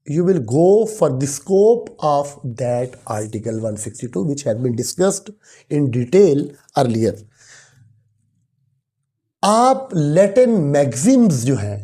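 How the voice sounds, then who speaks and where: A Pakistani man lectures in an emphatic, didactic way, mixing two languages as he explains. His voice sits a little high for a man and has a husky, mellow grain. He repeats key phrases to drive them home. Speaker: law lecturer.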